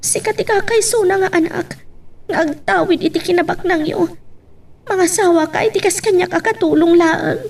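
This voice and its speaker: Actress as young housemaid, very high voice, Ilocano accent, meek, lovestruck, hesitant, melodrama. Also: female voice, normal speed, creaky. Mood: tearful, doubting. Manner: hesitant, deferential.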